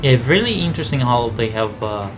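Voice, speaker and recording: A man with a mid-pitched voice commentates casually on a video game he is playing. He rambles and explains things as he goes, while clear game music plays behind him. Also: big pitch swings, a little fast. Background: game music and aircraft sounds.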